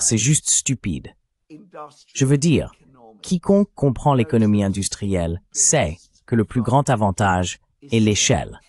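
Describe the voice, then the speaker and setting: A man's voice: fairly low pitch, darkish tone. A man's AI-generated voice reading a dubbed commentary in an emphatic, argumentative way.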